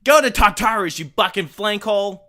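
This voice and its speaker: Man acting shrill, hot-tempered drunken cartoon mare. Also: lively intonation, normal speed. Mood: furious, defiant. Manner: shouting, foul-mouthed. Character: hot-tempered hard-drinking female cartoon pony.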